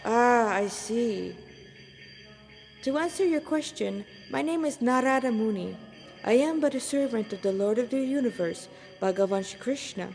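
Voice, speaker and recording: A woman's voice: fairly high pitch, animated, moderate pace, vocal fry, a little mellow, big-room sound, faint music behind. A woman playing an exuberant young holy man, a divine singer who speaks in effusive, grandiose devotional declamations. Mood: calm, compassionate.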